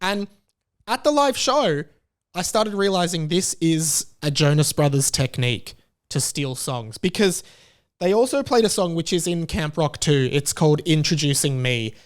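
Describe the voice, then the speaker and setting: A man's voice: a little high, animated, normal speed. A young man with an Australian accent hosting a podcast, talking in a chatty, jokey, opinionated way. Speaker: podcast host. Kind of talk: casual podcast monologue.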